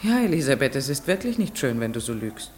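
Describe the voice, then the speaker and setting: A village schoolteacher in a radio drama. Her voice sits low and swings widely in pitch, moving from firm classroom commands to patient, probing questions. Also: big pitch swings, brisk. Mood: gentle, probing. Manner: questioning, shifts from commanding calls to soft coaxing. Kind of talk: German radio play acting.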